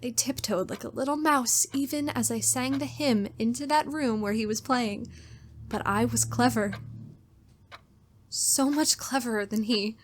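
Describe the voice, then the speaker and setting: A woman's voice: fairly high pitch, unhurried, slight background noise, natural voice. An actress playing a fearful, unhinged woman in a theatrical radio horror drama. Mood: gloating, unhinged. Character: fearful, unhinged woman diarist who killed her brother-in-law. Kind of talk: old-time radio horror drama acting.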